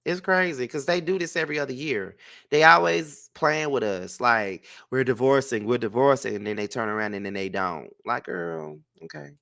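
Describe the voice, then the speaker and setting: A man's voice: mid-range voice, normal speed, gravelly, pushed and bright. A congested man giving casual, blunt and sassy gossip commentary as a vlog host, with emphatic, profane delivery. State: congested with a cold. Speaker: entertainment gossip vlogger and host. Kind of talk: casual opinionated reality TV commentary.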